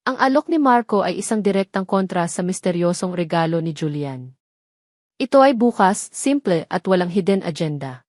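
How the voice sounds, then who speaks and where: A woman with a Filipino accent reading a romance story as a measured, dramatic narrator. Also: medium pitch, darkish tone, no room sound, studio quality.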